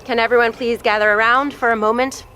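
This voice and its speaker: A woman's voice: on the high side, fairly even intonation, fast, sharp tone. An actress playing a blunt, foul-mouthed woman who heads a research facility, talking in a commanding way in an audio drama. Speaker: facility head.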